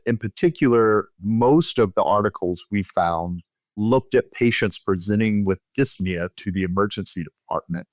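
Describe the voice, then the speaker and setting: A man's voice: lowish voice, no room sound. A man speaking as a physician guest, explaining clinical findings in a conversational interview style.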